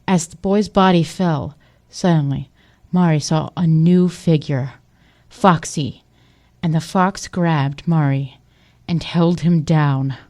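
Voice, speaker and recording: A woman's voice: sits low, slow pace, darkish tone. A woman giving scripted narration for an audio drama.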